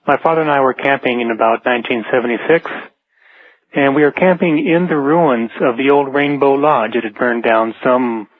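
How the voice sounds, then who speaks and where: Male caller, mid-pitched, matter-of-fact but tentative storyteller, dry narrowband telephone audio. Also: normal speed, no room sound. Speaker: radio show caller. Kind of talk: unscripted personal anecdote. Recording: narrow, dry phone line.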